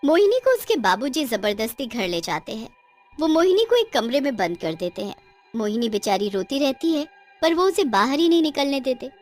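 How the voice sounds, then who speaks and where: A woman's voice: high voice, sing-song, moderate pace, soft background music. A woman narrating a scripted cartoon story in a dramatic storytelling style.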